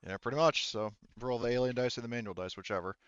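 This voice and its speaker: A man narrating as game master in a casual tabletop roleplaying session, thinking aloud haltingly and swearing mildly. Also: mid-range voice, fairly bright tone, clean tone, no room sound, muffled recording.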